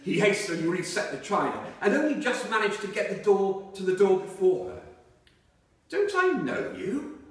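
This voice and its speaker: A man reading aloud from a novel to listeners in a measured storytelling way. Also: high-pitched, very animated. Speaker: reader at a book reading. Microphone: distant microphone. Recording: very echoey hall, far from microphone.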